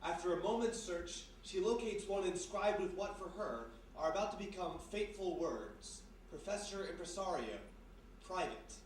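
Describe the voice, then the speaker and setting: A man acting as the measured, dramatic narrator of a live old-time radio mystery. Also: high voice, expressive. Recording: very echoey live hall, distant mic, faint noise.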